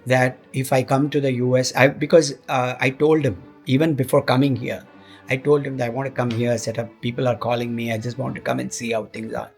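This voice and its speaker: A man who is a musician and teacher, talking in a relaxed way and retelling stories. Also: mid-range voice, a little fast, creaky, slightly rough, soft background music. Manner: relaxed, recounts stories with quoted speech. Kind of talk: casual conversation.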